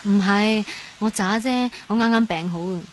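A woman's voice: mid-range voice, moderate pace, a little hiss or hum, natural voice. An actress with a Hong Kong Cantonese accent playing an earnest, sweet, fragile young woman recovering from illness in a radio drama. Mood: soft, reassuring. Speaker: actor. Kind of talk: radio drama, narrated inner monologue and dialogue. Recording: music under the voice. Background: background music.

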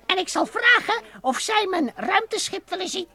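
Small brave alien professor for a radio serial: piercingly high, bright and sharp, stilted and bossy. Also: female voice, big pitch swings, normal speed, exaggerated voice. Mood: determined, impatient. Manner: quirky stilted phrasing with repeated nonsense exclamations. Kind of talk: old radio play acting for children.

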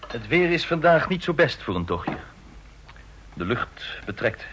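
A man's voice: medium pitch, big pitch swings, unhurried, fairly bright tone, slight background noise. An actor in a Dutch radio play voicing a skeptical army major who defers to his general. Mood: doubtful.

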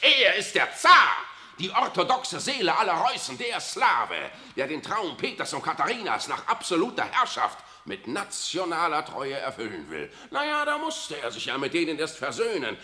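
Worldly old cardinal, ironic, a bright cutting tone, radio play. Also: male voice, mid-range voice, reverberant. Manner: ironic, confiding, keeps adding "nicht wahr". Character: worldly, cynical older male cardinal. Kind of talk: 1960s radio drama acting.

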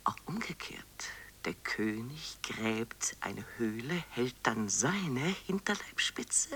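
A performer voicing a hushed, sensual reciter of mating rites and love verse in experimental radio art, in a breathy whisper with faint hiss. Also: mid-range voice, very animated, takes it slowly, full character voice. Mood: secretive, sensual.

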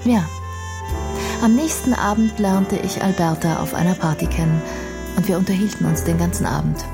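A woman's voice: fairly low pitch, normal speed, music in the background, lightly acted. An actress playing an intelligent, outspoken young woman in a radio play, speaking in an articulate, reflective and earnest way.

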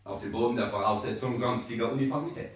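An actor playing an ambitious bourgeois social climber in a 1960s German radio drama, solemn and fawning, with formal, oratorical delivery. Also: male voice, mid-range voice, fast talker, slight background noise, natural voice. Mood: courteous.

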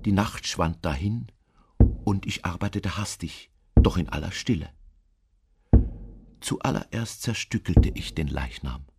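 An actor playing an obsessive, mad murderer who insists he is sane, confessing in a dramatic radio monologue with hushed intensity and deliberate emphasis. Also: low voice, expressive, heavy background noise. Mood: coldly boastful.

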